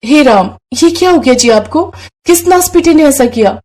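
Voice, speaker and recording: Woman acting a high-voiced, worried poor young wife. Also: animated, moderate pace, a little mellow. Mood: indignant, alarmed.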